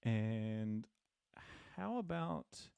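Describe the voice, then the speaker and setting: Gravelly low-voiced man thinking aloud. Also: very animated, mellow, no room sound, highs cut off. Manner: halting, many fillers and restarts, thinking aloud; friendly and self-deprecating, laughs at himself. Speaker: programming teacher answering live questions. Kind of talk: casual live-stream Q&A. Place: home desk, dim room.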